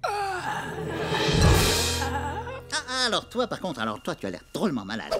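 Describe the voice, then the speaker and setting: An actor voicing a brisk, fussy veterinarian with a muttering, clinical manner in a children's cartoon. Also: medium pitch, wildly swooping pitch. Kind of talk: children's cartoon dub acting. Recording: loud music under the voice.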